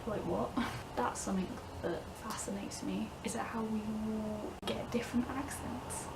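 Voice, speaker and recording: A woman's voice: mid-range voice, steady tone, unhurried, heavy background noise. A young woman from the English Midlands with a mixed northern and southern English accent, rambling casually to camera with frequent laughs and "like"s. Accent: English Midlands-northern with southern vowels. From England, north West Midlands. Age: young adult. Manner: chatty, laughs often, frequent "like". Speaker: vlogger. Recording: distant mic, clear room ambience.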